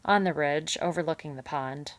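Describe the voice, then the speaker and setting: Sullen young male mouse read by a woman, low and glum, dry close sound. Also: moderate pace, dry recording, close to an everyday voice. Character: young male mouse, captive, angry and sad.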